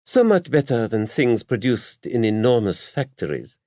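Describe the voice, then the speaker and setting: Guy doing posh old french abbess for an audiobook, french accent, stately and bossy, voice pitched up, bit muffled recording. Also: big pitch swings, moderate pace, darkish tone, dry recording, lightly acted. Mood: gracious. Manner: stately, formal, emphatic, opinionated. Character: regal older French abbess, poised, imperious, faintly playful.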